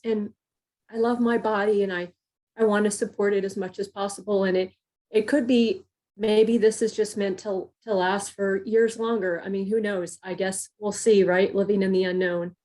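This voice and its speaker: A woman calling in with a question, speaking in a halting way with many pauses. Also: mid-range voice, darkish tone. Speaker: caller asking a question. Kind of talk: live group call question.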